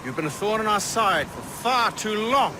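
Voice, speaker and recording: A haughty grandmaster, high for a man, dry and formal with cool disdain. The game dialogue sits in clearly audible background noise from an outdoor scene. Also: very animated, normal speed. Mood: disdainful. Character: haughty, cool-headed male Templar grandmaster. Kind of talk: dramatic video game acting.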